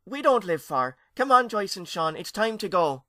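A man voicing an excited young boy ghost, speaking high and eagerly. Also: moderate pace, no echo, clean studio sound, full character voice. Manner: excited, coaxing.

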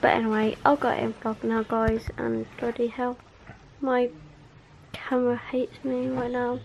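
A woman's voice: fairly high pitch, completely flat delivery, unhurried, creaky, a little mellow, lo-fi sound, a little hiss or hum. A woman, chatting in a rambling, giggly way while vlogging from a bedroom at night. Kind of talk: casual chat. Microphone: handheld camera.

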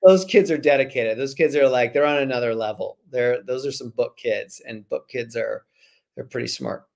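A man in his forties chatting casually as a guest author on a video call, with a joking, slangy, animated manner. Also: mid-range voice, moderate pace, gravelly. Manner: animated, joking, slangy, acts out imagined remarks. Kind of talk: casual chat interview. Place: small room at home, on a video call.

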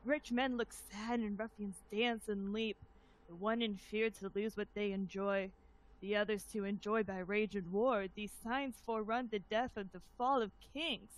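A woman's voice: mid-range voice, fairly even intonation, normal speed, close to an everyday voice, low-quality recording. A woman playing a grim, superstitious army captain, reciting verse in a declamatory way. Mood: foreboding.

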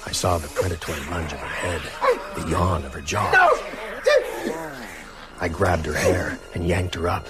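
An actor playing a grave man narrating his own horror story in a radio drama, measured and tense. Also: deep voice, steady tone, over loud music, close to an everyday voice.

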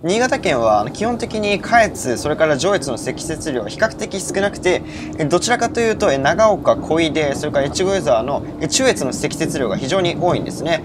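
A man's voice: a little high, big pitch swings, moderate pace, hardly any pauses. A man in his early twenties narrating a railway vlog with detailed, informative explanations. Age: early 20s. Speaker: railway vlogger. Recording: loud train and station noise.

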